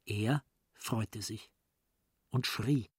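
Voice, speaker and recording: A man narrating a radio play as a literary storyteller, in a deliberate, dramatic manner. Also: lowish voice, breathy edge, no echo, clean studio sound.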